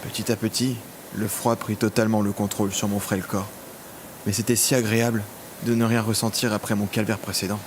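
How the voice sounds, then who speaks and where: An actor playing a lonely, vengeful imprisoned villain in a scripted audio drama, speaking in brooding, literary monologue. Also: male voice, lowish voice, little pitch movement, fairly quick, background noise, lightly acted. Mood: haunted, sorrowful. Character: vengeful, lonely imprisoned villain, self-styled son of death.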